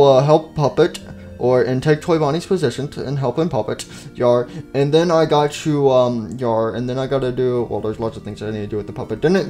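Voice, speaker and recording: A man's voice: medium pitch, mellow, close to an everyday voice. Man playing a patronizing pirate fox, faint music behind. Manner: rambling, patronizing pirate talk. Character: self-assured, busy pirate fox animatronic, condescending mentor.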